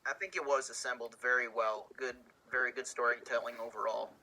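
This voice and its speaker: A man's voice: medium pitch, fairly even intonation, brisk, sharp tone, poor audio. A man co-hosting as a judge over a video call, chatting casually in short, dry remarks. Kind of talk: casual chat.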